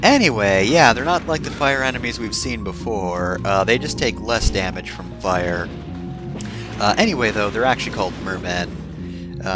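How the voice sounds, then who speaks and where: A man with a very lively, swooping delivery talks over clearly audible music. He hosts a gameplay video in a casual, rambling way, drifting off on tangents and tossing in sarcastic asides. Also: lowish voice. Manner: wry, sarcastic asides, often digressing. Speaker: video game let's-play host.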